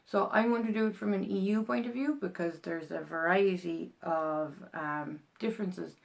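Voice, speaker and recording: A woman's voice: lowish voice, moderate pace, creaky. A young Irish woman vlogging in a rambling, chatty way, full of ums and restarts as she explains a process. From Ireland. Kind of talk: casual explainer vlog.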